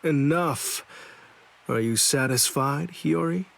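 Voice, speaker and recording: A man's voice: a little high, slow pace, vocal fry, fairly bright tone, full character voice. An actor playing a laid-back, sly male swordsman leader in a game cutscene dub, drawling and mocking. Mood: stern.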